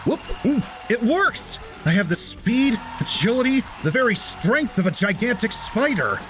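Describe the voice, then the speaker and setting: A man's voice: extremely high pitch, sing-song, normal speed, music in the background. A man playing a shy, earnest teenage science student in a comic dub, talking dramatically to himself. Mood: thrilled. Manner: earnest, dramatic self-talk.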